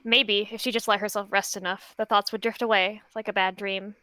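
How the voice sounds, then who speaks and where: A woman's voice: mid-range voice, level tone, brisk, pushed and bright, no room sound. A woman narrating her character's part in an improvised roleplay story.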